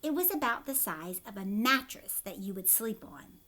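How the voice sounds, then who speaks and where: Female storyteller for young children: teacherly, explanatory asides, expressive delivery, lots of pitch movement. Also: moderate pace. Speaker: teacher reading aloud.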